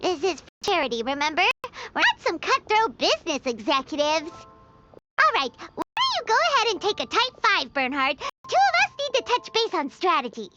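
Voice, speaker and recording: A woman voicing a tiny, chirpy, childlike fairy companion in a video game, squeaky and emphatic. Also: huge pitch swings, moderate pace, full character voice. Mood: doubtful. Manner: perky, emphatic. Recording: slightly dull audio under music.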